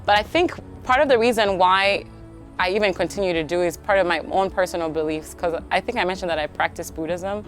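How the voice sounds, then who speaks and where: Low-voiced young woman, reflective. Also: fairly quick, faint music behind. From Uganda. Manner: reflective, chatty, often says "like". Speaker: guest, tree program coordinator. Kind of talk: casual interview answers. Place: outdoors at a tree nursery.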